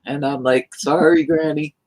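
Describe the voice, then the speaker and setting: A woman from New York chatting casually on a live stream, rambling in a loud, hyper way. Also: sits low, steady tone, measured pace, low-quality recording. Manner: rambling, hyper, self-described loud talker.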